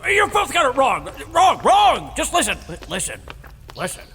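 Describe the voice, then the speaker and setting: Gravelly old warrior, blustery and defensive, swinging wildly in pitch, long cavern reverb. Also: male voice, huge pitch swings, moderate pace, sharp tone, slight background noise, heavily acted. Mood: defensive, irritated. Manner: blustery, rambling storytelling, stammers when challenged. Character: gruff, defensive old male war veteran and dragon-slayer, Abraxas. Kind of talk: audio drama acting. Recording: reverberant hall with music behind.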